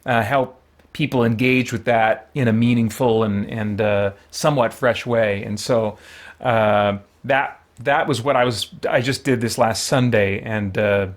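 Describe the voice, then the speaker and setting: A man hosting a livestream in a casual, rambling, thoughtful way, often pausing mid-sentence. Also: medium pitch, steady tone. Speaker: livestream host.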